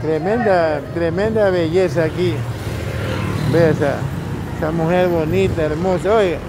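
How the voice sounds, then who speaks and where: A man's voice: fairly high pitch, steady tone, measured pace. A man doing casual, informal street interviews as a vlogger, often repeating himself. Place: outdoors at a roadside food stall. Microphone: handheld phone behind the camera. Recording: outdoor roadside with clear traffic noise.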